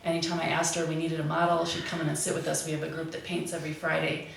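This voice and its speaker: A woman's voice: deep voice, completely flat delivery, fast, gravelly. A woman sharing an informal personal reminiscence with a gathering. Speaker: artist presenting a painting at a memorial. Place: echoey back room at a gathering. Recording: very echoey, distant mic, faint noise.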